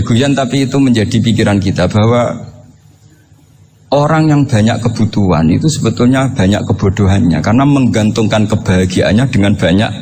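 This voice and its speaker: A man's voice: lowish voice, a little mellow. Joking Javanese-accented male preacher, faint background noise. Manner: conversational, joking, poses rhetorical questions. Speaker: Islamic preacher. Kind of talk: informal sermon with humorous storytelling.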